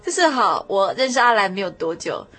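A woman with a Taiwanese accent hosting a conversational radio show in an earnest, expressive manner. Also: medium pitch, a little fast.

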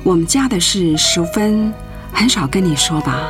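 A woman's voice: fairly low pitch, lively intonation, moderate pace, lightly acted. An actress playing a doting, fussy older mother and grandmother in a radio drama. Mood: subdued, reflective. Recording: music behind the voice.

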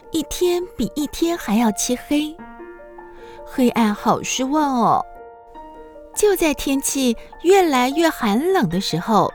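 Female narrator for children's audio drama: slow, highly animated, gentle and dramatic, over clear background music. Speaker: storyteller narrator. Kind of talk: expressive scripted children's story narration.

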